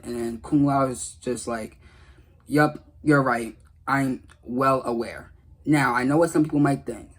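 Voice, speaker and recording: A man's voice: medium pitch, husky. A man giving casual, earnest commentary on game dialogue in a rambling, repetitive way. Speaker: gaming video creator.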